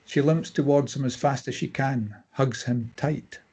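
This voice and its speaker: A man with a slightly husky voice narrating stage directions from a screenplay at a table read. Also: mid-range voice, a little slow, warm tone, slight background noise.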